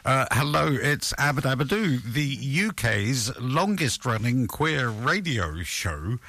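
Older man hosting a lively radio show. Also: medium pitch, slow pace, no echo. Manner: chatty, jokey banter. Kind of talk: casual radio presenting. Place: radio studio.

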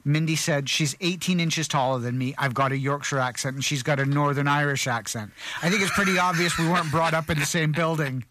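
A man's voice: a little high, fairly bright tone, dry recording. A middle-aged man reading a news story on a community radio show, with small slips and restarts. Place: radio studio.

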